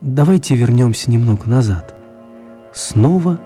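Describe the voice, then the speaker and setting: A man narrating a children's radio play as a scripted storyteller, with measured, wry phrasing. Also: medium pitch, animated, dark tone, faint music behind.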